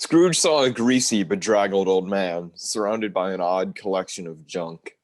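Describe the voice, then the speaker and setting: A man playing the solemn narrator of a ghostly radio play, measured and dramatic. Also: deep voice, a little hiss or hum. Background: music.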